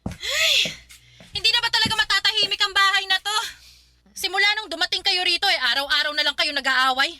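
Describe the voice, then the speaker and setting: An actress playing a bossy older sister who scolds sharply, in radio drama style. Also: female voice, very high-pitched, a little fast, bright, forceful tone, dry recording, studio quality, heavily acted. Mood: scolding. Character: irritated older sister, bossy homeowner woman.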